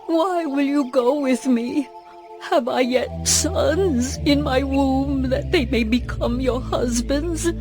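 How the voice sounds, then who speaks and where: A woman playing a grieving, bitter but tender older widow in a dramatized audio Bible, pleading in a deliberate way. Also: sits high. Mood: pleading, anguished. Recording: background music under the voice.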